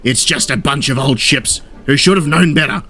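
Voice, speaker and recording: A man's voice: medium pitch, normal speed, sharp tone, voice pushed up. A man voicing a sour old fishing trawler in a children's story, grouching and sneering as he complains, with music behind. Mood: scoffing, boastful. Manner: grouching, snapping, scornful complaining.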